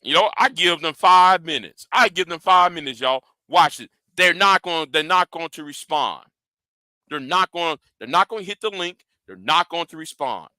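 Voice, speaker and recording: Guy ranting on his channel, high edgy voice, cussing and daring people, keeps stumbling over repeated words. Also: animated, normal speed, bright, forceful tone, no echo. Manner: combative, profane, taunting. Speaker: channel host. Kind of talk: confrontational livestream rant.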